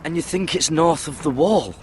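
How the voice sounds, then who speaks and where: A man's voice: on the high side, normal speed, a little hiss or hum, natural voice. An actor playing an earnest, dutiful young squire turned watchman in a fantasy game, with a questioning manner. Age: young adult. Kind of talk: dramatic fantasy game acting.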